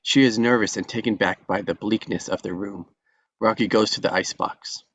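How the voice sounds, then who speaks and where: A man reading the stage directions aloud at a script table read, plain and even. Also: lowish voice, normal speed, no room sound.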